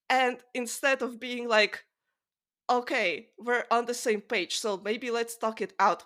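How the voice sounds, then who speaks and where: A woman's voice: a little high, fairly bright tone. A woman hosting a podcast, talking casually and with strong opinions in an emphatic, exasperated manner peppered with swearing. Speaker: podcast host. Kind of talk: casual opinionated book discussion chat.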